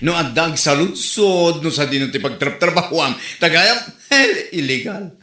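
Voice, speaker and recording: A man's voice: fairly high pitch, animated, normal speed, pushed and bright. A man with a Filipino accent playing a theatrical, mocking, scolding neighbourhood busybody in a comedy drama. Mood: lecturing. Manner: theatrical, exclamatory, teasing. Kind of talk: Ilocano comedy drama acting. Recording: roomy, echoing voice recording.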